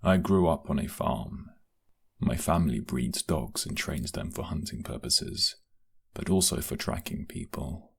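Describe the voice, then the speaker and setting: A man's voice: a bass voice, lively intonation, a little slow, vocal fry, breathy edge, heavily acted. A man playing a loyal guard in a crime syndicate for an audio drama, speaking earnestly and with formal deference. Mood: matter-of-fact. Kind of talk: audio drama roleplay acting.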